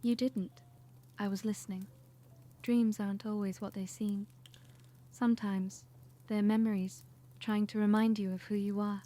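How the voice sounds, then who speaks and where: A woman playing a serene, dreamy, quietly wise girl in a fan audio drama, with a gentle manner. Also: medium pitch, flat delivery, moderate pace, pauses often, natural voice.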